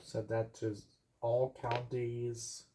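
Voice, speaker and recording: A man's voice: medium pitch, monotone, drawn-out words, background noise. A man giving casual hands-on vlog narration as a hobbyist, muttering short, offhand remarks while setting up a device.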